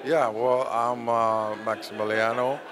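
A man in his fifties, a company CEO, speaking haltingly with many pauses in an event interview. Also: medium pitch, little pitch movement. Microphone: handheld interviewer mic. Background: crowd chatter.

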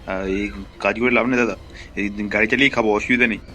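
A man as a fast-talking, nervy cartoon thug. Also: fairly low pitch. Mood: anxious. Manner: colloquial, excitable, stammers when frightened. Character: nervy small-time hired thug, one of three brothers.

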